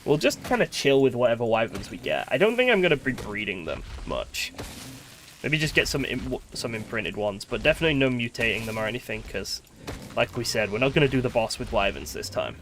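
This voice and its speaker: Lively, expressive man rambling over a game. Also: medium pitch, brisk, slight background noise. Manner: rambling, chatty, thinking aloud. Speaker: game streamer. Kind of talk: casual live gameplay commentary.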